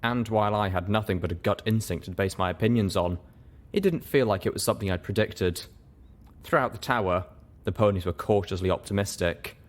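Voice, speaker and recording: A man reading first-person story narration as an audiobook, in an expressive, dramatic way. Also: on the low side, fairly quick. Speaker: audiobook reader.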